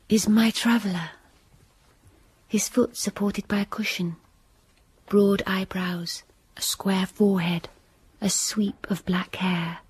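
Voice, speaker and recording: A young actress playing a quiet, restrained governess in a radio drama, narrating in a hushed voice. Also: female voice, medium pitch, husky, dry recording. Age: young adult. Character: plain, reserved young governess.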